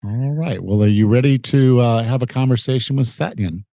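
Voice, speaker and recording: A man's voice: medium pitch, normal speed, gravelly, mellow, no echo, studio quality. A man over forty hosting a fitness podcast, sounding a little nasal from a head cold, talking in an earnest, conversational way with fillers. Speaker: podcast host and fitness coach. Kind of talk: casual interview chat and scripted promo.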